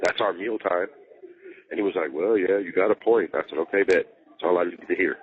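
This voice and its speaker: A man's voice: low-pitched, fairly quick. A man talking casually over a jail phone, venting in slangy, swear-heavy speech and repeating himself for emphasis. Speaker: jail inmate on a phone call to partner. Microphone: telephone handset. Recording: muffled, band-limited recorded jail phone call.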